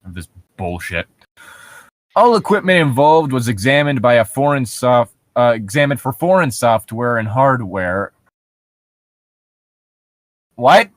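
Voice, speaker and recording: A man with a mid-range voice delivers casual comedic commentary. He is sarcastic and incredulous, swearing freely as he reads and reacts. The sound is dry and close, with no room echo. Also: mid-range voice. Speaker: co-host reading and riffing on internet horror stories. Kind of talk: casual comedic commentary with read-aloud passages.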